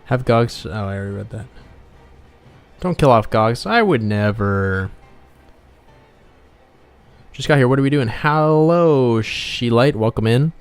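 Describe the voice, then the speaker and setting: A man's voice: medium pitch, lively intonation, a little slow, pauses often, clean tone, faint music behind. A man streaming casually as an indie game developer, laid-back, thinking aloud and muttering asides as he draws. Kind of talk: casual art livestream chat.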